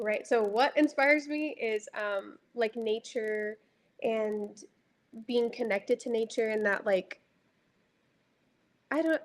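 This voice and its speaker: A young woman from Dallas with a light, high voice, a music artist talking reflectively about her songs and filling her speech with casual fillers. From Dallas, Texas. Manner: reflective, heavy use of filler words. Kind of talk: casual interview chat.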